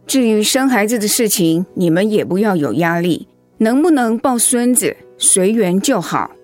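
An actress in a Mandarin radio drama playing a caring older mother-in-law, soothing, motherly and gently wry. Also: mid-range voice, animated, normal speed, faint music behind, close to an everyday voice. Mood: comforting.

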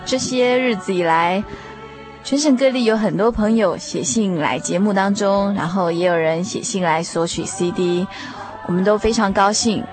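A woman's voice: mid-range voice, moderate pace. A woman with a Taiwanese Mandarin accent hosting a radio program, talking courteously and directly to her listeners and spelling things out for them. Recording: music bed under studio voice. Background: background music.

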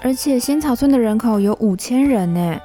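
A woman acts a skeptical young female housemate with a very mellow, dark tone at a fairly high pitch. She speaks in radio drama style, over faint music. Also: expressive, normal speed, lightly acted. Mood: incredulous.

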